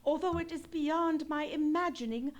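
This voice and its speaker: Woman acting high-voiced, resolute aristocratic wife. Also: moderate pace, natural voice. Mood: disapproving, loyal. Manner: formal, stately, resolute. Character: firm, loyal, disapproving aristocratic English wife. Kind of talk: live old-time radio play acting.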